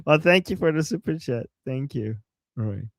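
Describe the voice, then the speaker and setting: Animated laughing man with a Persian accent. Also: on the high side, moderate pace, no echo. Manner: animated, jokey, laughs often, reacts to chat. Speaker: live stream co-host. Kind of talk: casual live-stream commentary.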